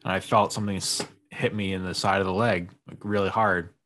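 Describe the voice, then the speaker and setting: A man's voice: sits low, fairly even intonation, fairly quick, fairly bright tone. A man in a casual conversation, easygoing and laid-back as he tells a story. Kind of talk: casual chat.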